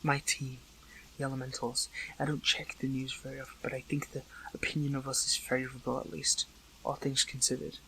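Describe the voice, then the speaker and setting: A man playing an earnest, hurt captive superhero in an audio roleplay, speaking in halting, pleading phrases. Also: medium pitch, monotone, creaky, bright, forceful tone, slight background noise, low-quality recording. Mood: challenging, frustrated. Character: captive male superhero, earnest, hurt, questioning an old friend. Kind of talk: dramatic audio roleplay acting, one side of a dialogue.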